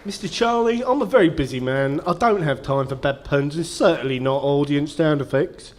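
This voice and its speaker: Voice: medium pitch, normal speed, some chatter behind, a little hiss or hum, natural voice. A performer playing a dry, impatient male careers advisor, curt and officious, in a comic radio sketch. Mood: dry impatience.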